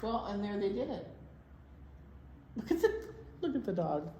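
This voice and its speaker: A woman reading aloud to small children as a storytime teacher, with expressive, drawn-out delivery and playful asides. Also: medium pitch. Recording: roomy echo, faint noise.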